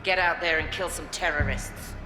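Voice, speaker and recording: A woman's voice: low-pitched, moderate pace, music in the background, lightly acted, low-quality recording. A woman voicing a ruthless, foul-mouthed intelligence chief in a video game, blunt and commanding, with a British accent. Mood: angry, commanding. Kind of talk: video game cutscene acting.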